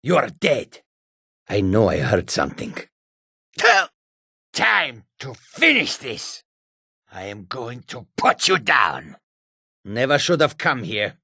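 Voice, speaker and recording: A man voicing a wary, combative male cat-folk character from a fantasy video game, with a gravelly, raspy voice and exotic, formal phrasing. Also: medium pitch, wildly swooping pitch, slow pace, long pauses, sharp tone, dry recording, studio quality, full character voice. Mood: wary, searching.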